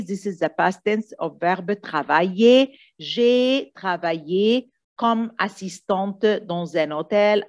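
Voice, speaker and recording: A woman teacher coaches students for an exam at a very unhurried pace. She is didactic, repeating key phrases and pausing to check that they follow. Her pitch sits in a middle range. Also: dull audio. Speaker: French teacher. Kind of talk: exam coaching lesson, switching between two languages.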